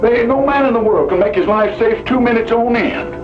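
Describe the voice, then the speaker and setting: An actor playing a folksy, talkative, worrying cowboy sidekick with wry rural speech in an old-time radio western. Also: male voice, very high voice, fairly quick. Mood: fretful, folksy. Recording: muffled old radio broadcast, music behind.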